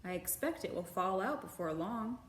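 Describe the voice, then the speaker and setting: A calm, patient picture-book father, read by a woman, measured and reassuring. The sound is roomy with faint noise behind. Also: mid-range voice, close to an everyday voice.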